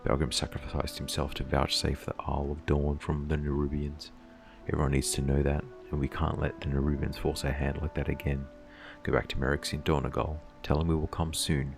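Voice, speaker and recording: A man voicing a gruff, weary stone fighter in a fantasy game, grave and deliberate, with a gravelly voice. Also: rumbling low, monotone, music in the background. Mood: weary, solemn.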